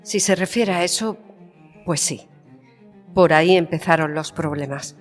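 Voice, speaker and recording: Proud spanish queen, kinda low, frank and measured, big echo, strings behind. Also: soft background music. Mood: wounded, guarded. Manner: formal, measured, pointed. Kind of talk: dramatised historical interview. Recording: long hall reverb.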